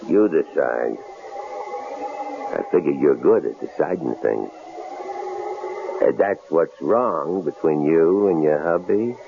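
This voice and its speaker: An actor playing a gruff, menacing murderous drifter in a radio drama, domineering and mocking, with a drawling, slangy way of talking. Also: male voice, extremely low pitch, lively intonation, slow pace, pauses often, heavily acted. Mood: needling, menacing. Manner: taunting, commanding, drawling folksy slang. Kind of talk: 1970s radio drama acting. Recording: noisy, lo-fi 1970s radio broadcast.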